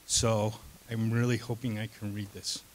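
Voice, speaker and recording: A man reading his own first-person story aloud on stage, deliberate, pausing between short phrases. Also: lowish voice, flat delivery, a little hiss or hum, rough recording. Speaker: reader of his own written piece.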